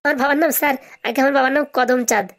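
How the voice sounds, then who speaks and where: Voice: high-pitched, steady tone, rapid-fire, creaky, fairly bright tone. A performer voicing a brazen, glib schoolboy in a comedy cartoon. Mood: polite, eager. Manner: glib, answers back with absurd confidence. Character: cheeky, brazen schoolboy with buck teeth.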